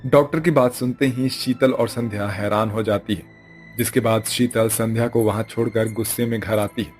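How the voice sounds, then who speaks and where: Man narrator, lowish pitch, scripted cartoon storytelling, faint music. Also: steady tone, moderate pace.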